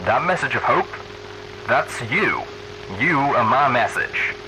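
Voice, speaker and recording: A man's voice: medium pitch, expressive, takes it slowly, background noise, pitched above the performer's own voice. A man voicing an upbeat, theatrical pony radio news host who speaks in a showy, folksy, heartfelt broadcast style. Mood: earnest. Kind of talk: radio broadcast monologue, earnest and dramatic.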